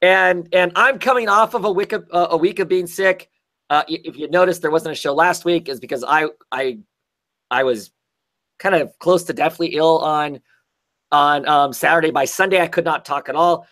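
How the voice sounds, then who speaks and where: A man hosting a casual livestream chat about comics, hoarse and scratchy as he gets over an illness, rambling with many restarts. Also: on the high side, moderate pace, fairly bright tone. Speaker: host.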